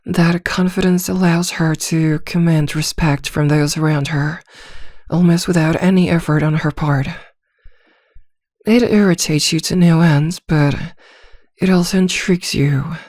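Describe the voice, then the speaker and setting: Woman playing a calm lady therapist, lowish soft voice, gentle n reassuring, careful pauses, asmr roleplay, really dry close sound. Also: no echo. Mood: analytical, probing. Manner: measured, reassuring, hesitant pauses with soft hums. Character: composed female therapist, gentle, attentive and discreetly probing.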